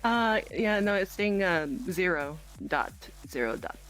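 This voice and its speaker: A woman's voice: fairly low pitch, lively intonation, measured pace, fairly bright tone, faint music behind, poor audio. A woman chatting casually during an improvised online role-play game, hesitant, giggly and apologetic in manner.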